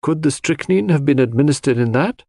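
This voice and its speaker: A man voicing a brisk, formal coroner who questions witnesses in a mystery audiobook. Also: fairly high pitch, animated, mellow, no room sound, clean studio sound, voice pushed up. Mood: probing, formal.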